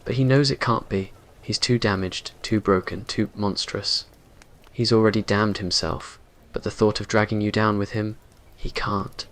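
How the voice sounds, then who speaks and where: Man, low voice, flat even intonation, scripted romance narration, ASMR story. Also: normal speed.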